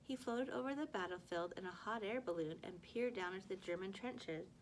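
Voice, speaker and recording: A woman's voice: mid-range voice, fairly quick, poor audio. Woman reading a story aloud over noticeable noise. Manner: expressive reading with stumbles and self-corrections. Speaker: teacher reading a book aloud to a class. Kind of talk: storybook read-aloud with acted dialogue.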